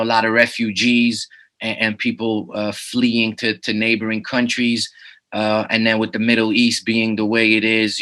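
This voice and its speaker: Low, flat-voiced bright-toned man. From Netherlands. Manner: laid-back, many "you know what I'm saying" fillers. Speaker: rapper guest. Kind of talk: casual interview.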